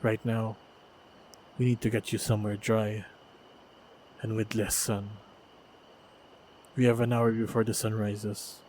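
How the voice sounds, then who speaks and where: Male actor as loyal fantasy protector: calm and gentle, very even delivery, slow and deliberate, for intimate audio roleplay. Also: on the low side, slight background noise, lightly acted, poor audio. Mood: protective, reassuring.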